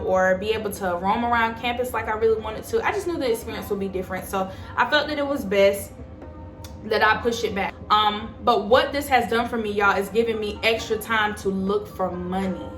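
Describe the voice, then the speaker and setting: A woman's voice: medium pitch, fairly bright tone, echoey room. A woman in her twenties giving casual, emphatic advice to her viewers. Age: 20s. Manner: chatty, emphatic, addresses viewers as y'all. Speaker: vlogger. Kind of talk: casual advice chat to camera.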